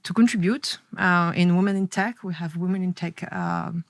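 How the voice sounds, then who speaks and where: A woman with a French accent, a podcast guest speaking in short, halting phrases. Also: lowish voice, steady tone, no echo, studio quality. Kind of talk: conversational interview.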